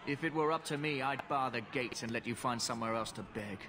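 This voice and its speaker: Actor as gruff, scornful male city guard. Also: medium pitch, brisk, noisy background, lightly acted. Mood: dismissive. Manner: brusque, dismissive.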